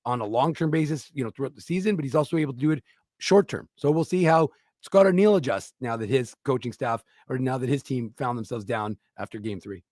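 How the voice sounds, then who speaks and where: A man's voice: medium pitch, a little fast, a little mellow, no echo, clean studio sound. A man co-hosting a sports talk radio show, breaking down a playoff series in a rambling way and often restarting his sentences. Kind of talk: casual sports talk analysis.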